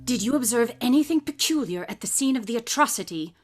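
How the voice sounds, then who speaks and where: Woman playing pompous high-pitched brilliant detective. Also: expressive, fast, close to an everyday voice. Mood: brisk, dismissive. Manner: pompous, didactic, precisely articulated. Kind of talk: live radio drama acting. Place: closet or desk at home.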